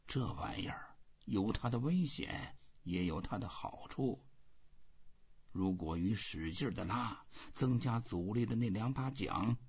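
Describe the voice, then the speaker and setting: A weary old fisherman from a storybook, read by a man, stoic and reflective. He muses slowly to himself in a very breathy, husky voice that rises and falls freely. The sound is a little muffled. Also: fairly low pitch, expressive, heavily acted. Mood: calculating.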